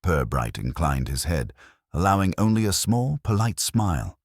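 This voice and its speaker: A man narrating a scripted detective story in a measured, literary storytelling manner. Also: deep voice, big pitch swings, no echo, clean studio sound.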